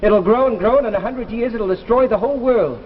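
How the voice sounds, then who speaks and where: An actor playing a meek but giddy, earnest time traveller in a 1950s radio drama, with a folksy, emotive delivery. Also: male voice, high voice, a little fast, breathy edge. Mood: urgent. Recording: dull vintage radio recording with music.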